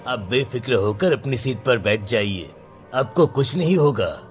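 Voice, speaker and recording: A man's voice: medium pitch, expressive, unhurried, faint music behind, lightly acted. A man voicing a brazen, villainous bus crewman in a horror cartoon, with a casual manner. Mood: dismissive, reassuring.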